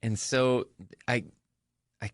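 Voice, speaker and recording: A man's voice: medium pitch, fairly even intonation, takes it slowly, no room sound, clean studio sound. A man hosting a conversational interview, affirming his guest, recapping and prompting. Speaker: podcast host, interviewer.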